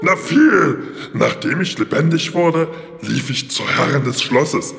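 A man's voice: mid-range voice, expressive, a little slow, vocal fry, a bit bright. A man voicing a simple-minded, plaintive talking lion who hesitates and repeats words in a children's fairy-tale radio play. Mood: storytelling. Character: grumpy, sun-loving, hungry talking lion, simple-minded. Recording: reverberant hall sound.

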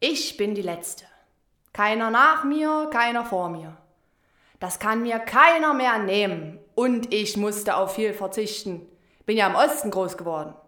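A woman's voice: medium pitch, lively intonation, a little slow, bright, forceful tone, reverberant. An actress playing a crude, suspicious and proud old woman neighbour in a radio crime play, brusque and accusatory. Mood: proud, grim.

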